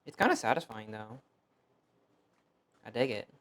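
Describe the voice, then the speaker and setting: A man's voice: medium pitch, animated, moderate pace, fairly bright tone. A young man from the United States whose first language was Fuzhounese, chatting loosely on a cooking stream with lots of fillers and self-deprecating asides. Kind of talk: casual cooking stream chat.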